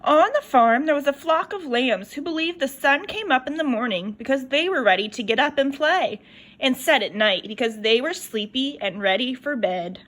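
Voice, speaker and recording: A woman reading a children's picture book aloud in an expressive, lively storytelling manner. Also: sits high, normal speed.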